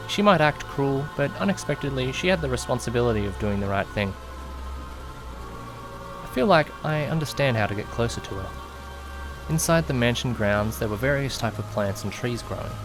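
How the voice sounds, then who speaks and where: Audio drama lead, male actor: nervous, well-meaning young man, mid-pitched, first-person narration, earnest, music underneath. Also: expressive, moderate pace, lightly acted. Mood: earnest, uneasy. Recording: loud music behind the voice. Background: background music.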